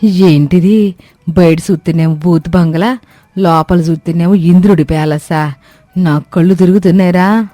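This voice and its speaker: A theatrical older village mother-in-law, acted by a woman, grumbles and exclaims in a cartoon moral tale. Her voice is dark and slightly low, recorded very dry with soft music behind it. She scolds in earthy, colloquial speech. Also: steady tone, normal speed, no echo, lightly acted. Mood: amazed. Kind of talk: animated moral story cartoon dubbing.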